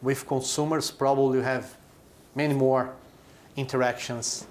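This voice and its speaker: A man's voice: mid-range voice, little pitch movement, normal speed, slight background noise. A man in his forties from Brazil, a company chief explaining his business in an interview, with short emphatic phrases. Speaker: chief executive of a brewing company.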